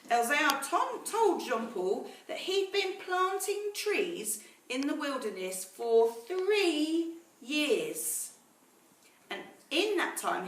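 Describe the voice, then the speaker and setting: A woman reading a story aloud to children in a teacherly way, pausing to explain words and ask questions. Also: fairly high pitch, fairly bright tone. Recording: roomy echo, distant mic, faint noise.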